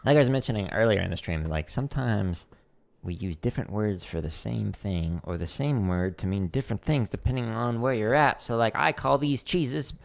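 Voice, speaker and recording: A man's voice: on the low side, moderate pace, vocal fry. A man casually chatting with his livestream viewers between drum practice runs, loose and full of "like" fillers, counting tempos aloud. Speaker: drum teacher streaming practice. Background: drumming on a practice pad.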